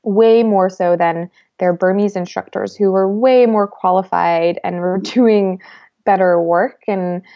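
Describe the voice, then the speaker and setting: A young woman in her twenties, a guest in an interview, talking thoughtfully with many pauses, fillers and restarts. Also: medium pitch, fairly even intonation, lo-fi sound. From United States. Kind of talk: reflective conversational interview.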